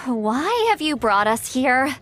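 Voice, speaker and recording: Game role, assured young female leader: high, expressive, decisive, articulate, music underneath. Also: background noise, full character voice. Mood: questioning. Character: confident young woman leader, encouraging and decisive. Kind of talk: video game voice acting.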